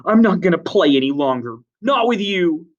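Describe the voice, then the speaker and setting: A man voicing a bitter, tearful, defiant boy hunter in a storybook reading, making loud, forceful declarations. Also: a little high, expressive, normal speed, recorded from a distance, lo-fi sound, pitched above the performer's own voice. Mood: hurt, defiant.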